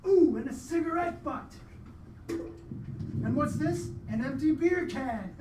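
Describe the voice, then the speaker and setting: A woman's voice: high-pitched, animated, slow pace. A woman playing a glib, defensive man who shoots crocodiles, in a live radio play. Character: brash, dismissive crocodile-shooting man, expectant father, Leonard. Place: live performance venue, recorded from off. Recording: distant, echoey live stage recording, loud noise.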